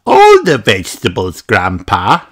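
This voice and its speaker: A man's voice: fairly low pitch, wildly swooping pitch, a little slow, heavily acted. A man voicing a cheerful little piglet girl in a storybook. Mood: chirpy.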